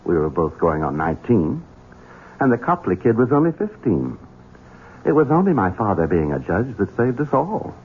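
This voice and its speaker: An actor playing a young, cruel, scheming heir who speaks in a cold, menacing, insinuating way, in a radio drama. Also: male voice, fairly low pitch, very animated, normal speed, slightly rough. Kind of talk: 1970s radio drama acting. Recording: tinny lo-fi radio broadcast.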